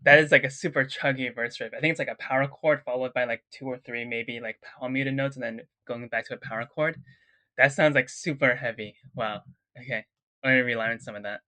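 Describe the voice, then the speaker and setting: Bright nasal man with a cold. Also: medium pitch, fairly even intonation, brisk. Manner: eager, chatty, gushing over riffs. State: sick, congested. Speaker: guitarist reacting to music. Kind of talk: casual chat.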